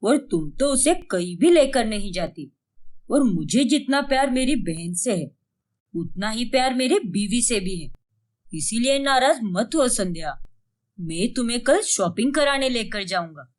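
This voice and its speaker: An indulgent older husband from a domestic audio tale, voiced by a woman. He placates and reasons soothingly, with lively movement in the intonation. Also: fairly high pitch, unhurried. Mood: reassuring.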